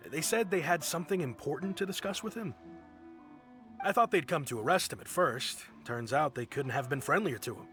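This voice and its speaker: A man's voice: a little high, fairly quick. An actor voicing an easygoing, friendly ship crewman in a video game who laughs often. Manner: relaxed, amused, laughs often. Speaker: sailor in a pirate fleet. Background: game music.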